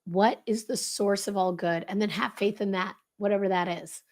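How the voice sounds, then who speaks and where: Guest speaker, woman, hoarse and crackly today, brisk coaching delivery. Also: medium pitch, fairly even intonation, darkish tone. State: hoarse, voice lost. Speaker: leadership consultant guest. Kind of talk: explaining a leadership framework.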